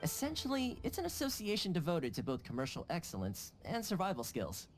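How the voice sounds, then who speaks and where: A man's voice: high-pitched, wildly swooping pitch, a little fast, faint music behind. An actor playing a courteous, easygoing and shrewd young male fixer in a video game. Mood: relaxed, explanatory.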